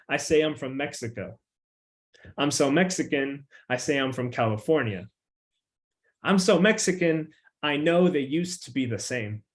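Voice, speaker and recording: A young man, a featured poet, who talks in a relaxed, loose way with plenty of filler words and reads his poems with an emphatic, rising refrain. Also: fairly high pitch. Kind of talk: casual chat and poetry reading.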